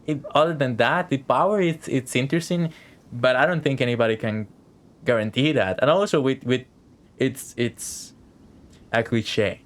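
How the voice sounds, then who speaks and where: A man's voice: medium pitch, moderate pace. A man giving casual live gameplay commentary as a streamer, chatty, wry and sceptical. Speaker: game streamer.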